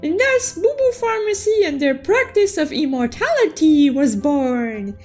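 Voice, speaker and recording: A woman voicing a cute, excitable young unicorn who recaps a story with playful, theatrical exclamations. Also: sits high, measured pace, soft background music. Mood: playful storytelling. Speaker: storytelling host. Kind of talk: animated story recap narration.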